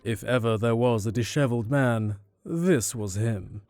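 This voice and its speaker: A man's voice: mid-range voice, a little slow, darkish tone, a little hiss or hum. A man reading scripted narration for an audio drama.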